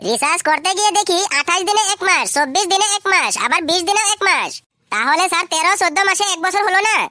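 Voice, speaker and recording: A performer voicing a cheeky, quick-witted schoolboy prankster with a squeaky, artificially high voice in a comedy cartoon. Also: animated, pushed and bright, no room sound, clean studio sound, full character voice. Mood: cheeky complaining.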